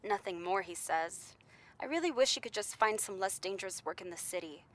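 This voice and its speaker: A woman's voice: medium pitch, animated, fairly quick, close to an everyday voice. An actress playing a worried, loving young girlfriend in a 1930s radio drama, pleading and tender.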